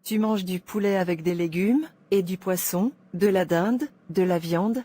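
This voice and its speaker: Soft-toned, mellow woman narrator. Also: fairly low pitch, unhurried. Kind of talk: scripted moral storytelling narration.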